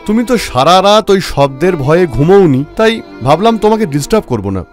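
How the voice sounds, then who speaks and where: A man voicing an exasperated, dismissive young husband in an animated horror story, scolding as he talks. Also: high-pitched, fast talker, soft background music, voice pushed up. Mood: annoyed. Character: irritated, dismissive young husband brushing off fears.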